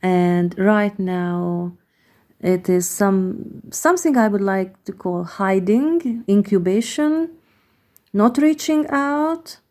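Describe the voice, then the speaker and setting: A woman with a Hungarian accent, a native Hungarian speaker, gives a slow, reflective tarot card reading, pausing often and searching for words. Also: mid-range voice, a little mellow. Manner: hesitant, searching for words with many pauses.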